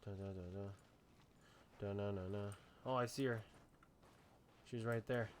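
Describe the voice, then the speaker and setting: A woman's voice: very deep voice, steady tone, a little slow, a little mellow, a little hiss or hum. A woman giving casual, off-the-cuff commentary while playing a video game.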